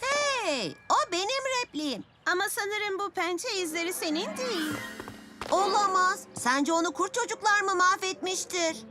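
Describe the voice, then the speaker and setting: A woman voicing an excitable young child superhero in a cartoon dub, in a dramatic, exclamatory manner. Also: very high-pitched, moderate pace. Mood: eager. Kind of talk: animated cartoon dub acting. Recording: loud music behind the voice.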